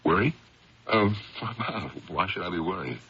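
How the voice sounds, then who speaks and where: An actor playing a successful, authoritative but anxious district attorney in a 1970s radio drama. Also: male voice, deep voice, lively intonation, a little slow, bright, forceful tone, slightly rough, full character voice. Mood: worried. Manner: authoritative, hesitant under pressure. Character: anxious, brusque, successful male district attorney hiding secrets. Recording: dull, faintly noisy 1970s radio broadcast.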